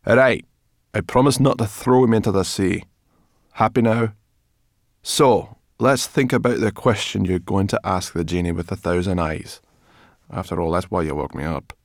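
A prickly brownie girl from a storybook, put on by a man, with a pitch lifted over the reader's usual talk and plenty of gravel. She is greedy, gruff and scornful, growling and hissing her retorts. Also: normal speed, no room sound, clean studio sound. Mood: grudging. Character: gruff, greedy, prickly young female brownie, furry creature.

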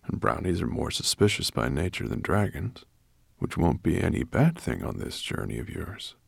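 A man voicing a forgetful old male dragon in a breathy murmur, slow and halting, as in a storybook reading. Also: low-pitched, sing-song, dry recording, clean studio sound, full character voice. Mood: approving.

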